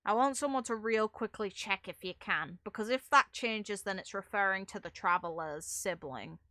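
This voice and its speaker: A woman's voice: mid-range voice, moderate pace, pushed and bright, dry recording. A woman chatting casually as she streams a video game, rambling in a wry, mildly sweary way. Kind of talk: casual live stream chat while playing a video game. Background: game music.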